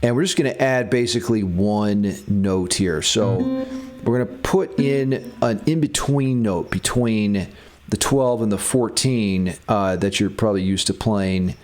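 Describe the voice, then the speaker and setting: A man's voice: lowish voice, measured pace, a little mellow. A man giving a casual music theory lesson as a guitar teacher.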